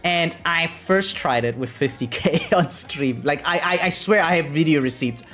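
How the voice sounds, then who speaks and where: A man's voice: fairly high pitch, normal speed, a bit bright, soft background music. A man live streaming and chatting casually with viewers in a rambling, repetitive, slangy and profane way, often checking in with a tag question. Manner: frequently tags sentences with "right?". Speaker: variety game live streamer.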